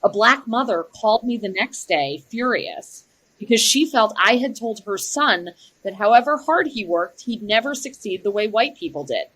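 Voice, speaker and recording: An actress playing a young, troubled new teacher in an audio drama, speaking earnestly and reflectively. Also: female voice, medium pitch, lively intonation, moderate pace, a bit bright, rough recording. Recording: clear background noise and ambience.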